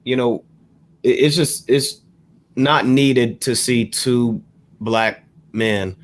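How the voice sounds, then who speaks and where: A man co-hosting a podcast, talking through an issue in a measured, explanatory way with careful hedging. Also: mid-range voice. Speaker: podcast co-host. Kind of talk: panel discussion commentary.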